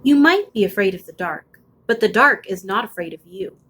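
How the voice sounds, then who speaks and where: Female storybook reader, medium pitch, expressive and deliberate, building suspense for young listeners. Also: slightly rough. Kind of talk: expressive children's picture book read-aloud.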